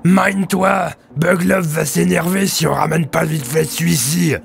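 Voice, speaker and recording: Un homme qui incarne un sbire évadé de prison, avide, surexcité et idiot, au ton geignard, dans une fiction audio. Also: male voice, fairly high pitch, steady tone, unhurried, vocal fry, slight background noise, voice pushed up. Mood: greedy, frantic. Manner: frantic, whining, drooling eagerness. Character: greedy, manic, dim-witted escaped prisoner henchman. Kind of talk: audio fiction character acting.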